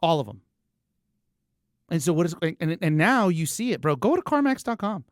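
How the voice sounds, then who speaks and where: Very animated, emphatic man on a podcast. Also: fairly high pitch, moderate pace, dry recording, clean studio sound. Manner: emphatic, repeats words for effect; informal, acts out imagined quotes. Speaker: car dealership service coach. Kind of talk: animated business podcast conversation.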